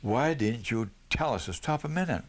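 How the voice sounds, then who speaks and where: A man reading the part of a kind, steady father in a storybook, giving plain-spoken, encouraging instructions. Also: mid-range voice, normal speed, voice pushed up. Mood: kind, surprised.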